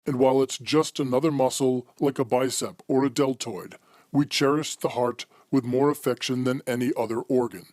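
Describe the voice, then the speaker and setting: A man's voice: mid-range voice, steady tone, normal speed, darkish tone, dry recording, clean studio sound, close to an everyday voice. A man playing the philosophical, formal host of a macabre radio anthology, reading scripted narration in an old-time radio style. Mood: measured, reflective.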